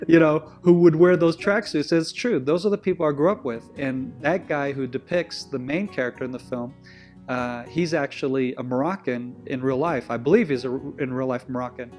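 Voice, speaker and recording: A man's voice: medium pitch, moderate pace, a little mellow, soft background music. A man from Israel with an Israeli accent, whose first language is Hebrew, appears as a guest and tells stories in a rambling way, often stopping to correct himself. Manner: rambling, self-correcting, with frequent restarts. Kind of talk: conversational interview storytelling.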